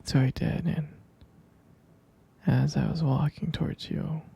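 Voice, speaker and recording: A man playing a tender, sleepy half-vampire boyfriend in an intimate ASMR roleplay, murmuring hesitantly in a breathy, husky half-whisper with gravelly fry. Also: on the high side, steady tone, measured pace, lo-fi sound, exaggerated voice. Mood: tender, wistful. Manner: hesitant, gentle murmuring with hums and pet names.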